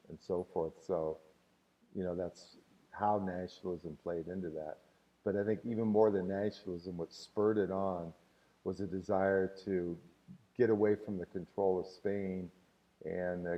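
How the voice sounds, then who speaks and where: A man with a very low voice talks through a history lesson on a live stream, answering questions in a loose, rambling way. He leans on filler words as he goes. He sits back from the mic, and the sound comes through muffled. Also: recorded from a distance. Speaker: history teacher.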